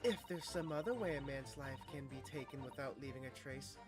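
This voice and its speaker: A player putting on a haughty gentlewoman. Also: medium pitch, brisk, over loud music, natural voice. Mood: dismissive. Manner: pompous and condescending. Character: haughty snobbish English gentlewoman witness. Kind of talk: reading game dialogue aloud with friends.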